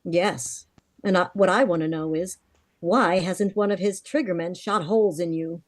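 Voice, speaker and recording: An actress playing a composed, mysterious American woman in a vintage radio mystery, measured and earnest. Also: on the low side, lightly acted. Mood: guarded, serious. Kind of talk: vintage radio drama acting.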